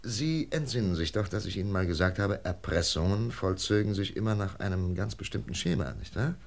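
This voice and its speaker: Deep, gravelly male investigator. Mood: lecturing. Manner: measured, lecturing, emphatic. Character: methodical, persuasive male FBI investigator. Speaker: actor. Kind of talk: German radio crime drama acting.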